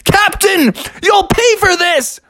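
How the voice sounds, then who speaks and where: A performer voicing an excitable, mischievous young male frog in a children's story, with exclaiming, boyish slang. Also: very high-pitched, slow pace, no room sound, clean studio sound, voice pushed up, full character voice. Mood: indignant.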